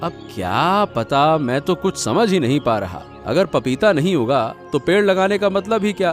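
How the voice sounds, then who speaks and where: A man playing a poor, bereaved village farmer in a Hindi cartoon story, with earnest, emotive, folksy delivery. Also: on the high side. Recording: music playing behind the voice.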